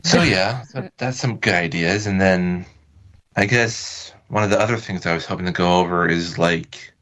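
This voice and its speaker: American male podcast guest, bright forceful tone on a lowish voice, casual and loosely worded. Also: moderate pace. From United States. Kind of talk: casual interview chat.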